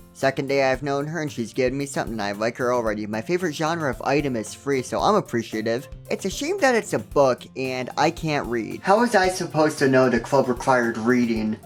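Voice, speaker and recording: A man narrating a comedic game review with sarcastic, self-deprecating jokes. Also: mid-range voice. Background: music.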